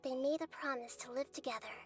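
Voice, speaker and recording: A woman playing a gentle, solemn storyteller in a video game, narrating in a measured, tender way. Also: high voice, fairly even intonation, far from the mic, natural voice. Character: gentle, solemn female storyteller recounting a sad past. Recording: music behind the voice.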